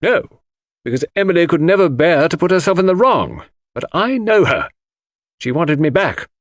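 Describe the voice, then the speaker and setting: A blunt older woman of firm opinions, as a male narrator puts her on. The pitch is lifted high and swings widely, with curt, dismissive phrasing. It is audiobook dialogue, recorded dry. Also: sing-song, normal speed, dry recording. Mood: indignant, blunt. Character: blunt, scornful, stubborn older woman, loyal friend.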